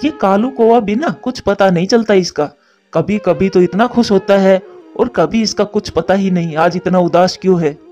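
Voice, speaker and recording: A man's voice: high-pitched, normal speed, a little mellow, natural voice. A man voicing an earnest, admonishing crow, a concerned father in a cartoon. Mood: puzzled. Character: male crow, concerned scolding father and husband. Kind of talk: Hindi cartoon voice acting. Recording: muffled narrow-band audio over music.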